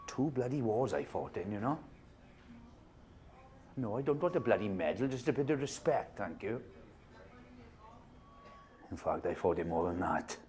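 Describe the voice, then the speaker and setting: A man's voice: fairly low pitch, animated, normal speed, pauses often, darkish tone, close to an everyday voice. An actor playing a cantankerous, foul-mouthed older male war veteran with a British accent, grumbling and indignant. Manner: grumbling, indignant, swears often. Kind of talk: film drama acting.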